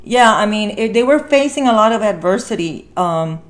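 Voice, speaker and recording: A woman speaks as a podcast host in an informal conversation. Her voice sits at a medium pitch. She comes across as earnest and encouraging, often repeating a phrase to press her point. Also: lively intonation, normal speed. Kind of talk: casual podcast conversation about a film.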